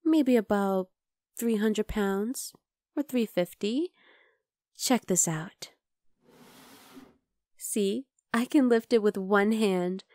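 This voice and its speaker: A woman's voice: medium pitch, slow pace, pauses often, dry recording, clean studio sound, lightly acted. A woman playing an obsessive, chatty vampire lab worker in an ASMR roleplay, coaxing and teasing the listener. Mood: smug, playful. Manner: conversational, teasing, coaxing, with soft asides.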